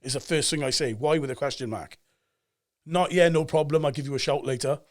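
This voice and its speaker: A man in his forties with a Cardiff Welsh accent, talking as a guest on a podcast and telling stories with emphatic energy. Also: on the high side. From Cardiff, Wales. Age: 40s. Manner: animated storyteller, fast and emphatic, acts out quoted voices. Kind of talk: casual podcast chat.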